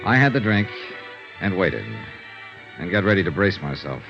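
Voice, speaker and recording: Hardboiled detective, American, low-pitched, dry and skeptical, wide-swinging intonation, old dull radio recording. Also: male voice, sing-song, moderate pace. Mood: wry, knowing. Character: cool, skeptical, hardboiled male insurance investigator. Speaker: actor in a radio detective serial. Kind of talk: 1950s radio noir acting and first-person narration. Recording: dull vintage radio recording, music behind.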